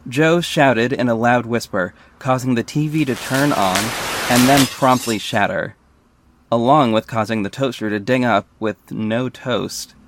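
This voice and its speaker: A man's voice: medium pitch, unhurried, background noise, lightly acted. A man playing a wry, self-aware superhero who narrates his own story in a scripted audio drama. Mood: descriptive, lightly wry.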